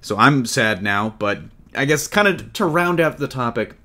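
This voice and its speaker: A man's voice: medium pitch, expressive, normal speed, sharp tone. A man hosting a casual entertainment news podcast, chatting like an enthusiastic fan. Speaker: podcast host.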